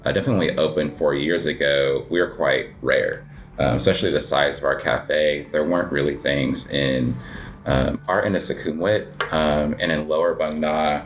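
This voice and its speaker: Very deep-voiced relaxed man. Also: moderate pace, soft background music. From United States. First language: English. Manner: relaxed, rambling, heavy filler words, self-deprecating laughs. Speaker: guest, cafe owner and coffee competitor. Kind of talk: casual interview chat.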